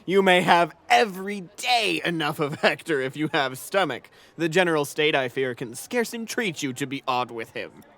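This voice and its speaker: A man plays a blunt Greek warrior in a Shakespeare audio drama. His voice sits fairly high, with formal, plain-dealing delivery of the verse. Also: expressive, normal speed, few pauses, fairly bright tone. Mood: chiding.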